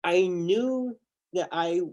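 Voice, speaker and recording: A man's voice: sits high, extremely slow, darkish tone, dull audio. A young man hosting a livestreamed interview on a video call, chatty, often repeating words as he restarts. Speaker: interview host.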